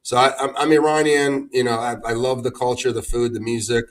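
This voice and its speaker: A man from Iran who now lives in the United States talks as a guest on a talk show, relaxed and emphatic, often repeating words as he starts a point. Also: medium pitch, a little fast, distant mic. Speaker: guest, former congressional candidate. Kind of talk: casual interview talk.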